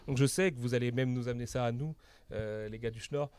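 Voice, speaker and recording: A man's voice: medium pitch, steady tone, fairly quick, a little mellow, clean tone. A young French man in casual podcast chat as a bookshop co-founder, talking enthusiastically with lots of slang. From France.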